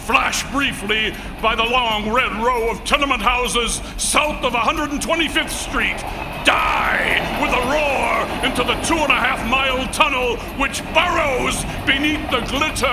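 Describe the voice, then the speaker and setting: Man as declamatory vintage radio narrator, very high pitch, measured pace, music behind. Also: rough recording. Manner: grandiose, declamatory. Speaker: announcer. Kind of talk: 1940s dramatic radio narration.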